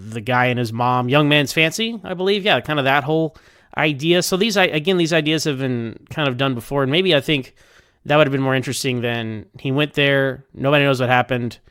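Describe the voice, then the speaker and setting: A man's voice: medium pitch, a little fast, fairly bright tone, clean tone, no room sound, studio quality. A man talking casually in a rambling, think-aloud way as he gives his review of TV episodes. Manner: conversational, hedging, thinking aloud.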